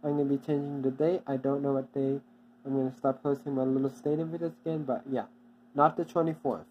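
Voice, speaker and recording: Halting, repetitive vlogger with faint hum. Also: medium pitch, flat delivery, dull audio. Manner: halting, repeats itself often, many restarts. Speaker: channel owner giving an update. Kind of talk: casual off-the-cuff update.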